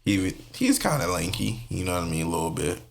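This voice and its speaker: A man hosting a podcast, talking sports in loose slangy banter with loud bursts. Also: low-pitched, wildly swooping pitch, fairly quick, creaky, slight background noise. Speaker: podcast host. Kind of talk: casual chat.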